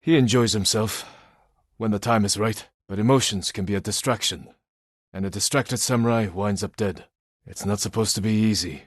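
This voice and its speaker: Guy voicing a low husky game samurai, stoic and determined, measured delivery, very dry close recording. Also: no echo, close to an everyday voice. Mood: solemn. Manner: measured, restrained, solemn, short clipped sentences. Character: stoic, honor-bound young samurai lord, grave and determined. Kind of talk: video game voice acting.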